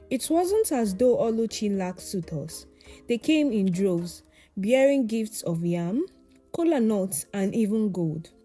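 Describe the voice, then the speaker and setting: A woman's voice: mid-range voice, dark tone. A woman narrating a scripted folktale as a storyteller.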